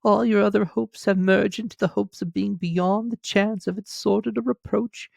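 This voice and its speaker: Man voicing a soft, sorrowful young woman, high-pitched. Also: steady tone, creaky, no room sound, full character voice. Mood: gentle, grieving. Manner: soft, earnest, measured. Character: gentle, sorrowful young woman releasing her betrothed.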